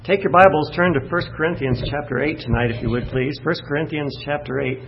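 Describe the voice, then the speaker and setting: A man's voice: fairly high pitch, brisk, vocal fry, lo-fi sound, over music. A man preaching at an evening Bible study in a folksy, self-deprecating way, thinking aloud and restarting his phrases. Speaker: pastor.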